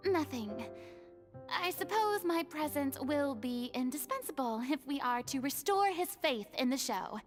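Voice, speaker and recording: An actress voicing a flamboyant, theatrical young woman who is easily flattered and speaks with dramatic, showy flourishes, over game music. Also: high voice, measured pace. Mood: confident.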